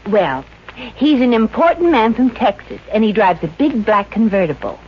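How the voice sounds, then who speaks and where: A sassy young working girl with snappy comebacks and a lively, swinging delivery. She is wry and quick, in classic 1950s radio comedy style. The sound is muffled, with some old-broadcast noise. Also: a little high. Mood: excited, confiding. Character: wisecracking, dreamy young working-girl boarder, brassy and quick.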